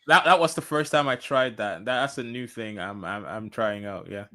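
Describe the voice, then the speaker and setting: A man's voice: fairly low pitch, moderate pace, sharp tone. A man from Nigeria hosting a podcast, casual and agreeable, laughing easily and restarting his sentences. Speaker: podcast host. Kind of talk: casual interview chat.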